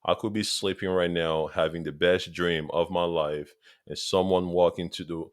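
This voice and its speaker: A man's voice: deep voice, steady tone, normal speed, clean tone, no room sound, studio quality. A man in his thirties from Congo, with a Congolese accent, eagerly talking football straight to his viewers in a casual vlog and repeating points for emphasis. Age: 30s. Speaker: football vlogger.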